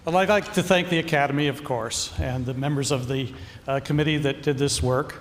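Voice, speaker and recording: A man's voice: mid-range voice, fairly quick. A man giving an informal acceptance speech as an award recipient. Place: large hall at awards ceremony. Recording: echoey hall, faint background noise.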